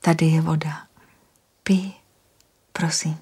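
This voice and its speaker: A serene young forest woman , as a woman reading a storybook puts her on, who speaks in hushed, soothing whispers. The voice is low and breathy, patient and calm throughout.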